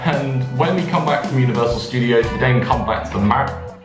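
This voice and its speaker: A man's voice: medium pitch, fairly quick. A young British man telling a casual story to viewers in a chatty, rambling way that often interrupts itself. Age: young adult. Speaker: vlogger. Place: indoors in a bathtub in a bathroom. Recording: echoey bathroom with music behind.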